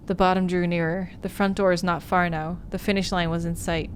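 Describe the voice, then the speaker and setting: Lower-voiced woman streaming a story game. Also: little pitch movement, brisk, a little hiss or hum. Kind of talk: livestream playthrough, reading visual novel narration aloud.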